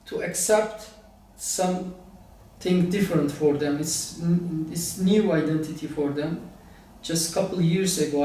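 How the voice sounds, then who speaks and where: High-voiced older man, slow and halting. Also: darkish tone, slight background noise. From Turkey. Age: middle-aged. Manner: halting, searching for words in English. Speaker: interviewee. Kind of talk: casual interview answers. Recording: very echoey room, distant mic.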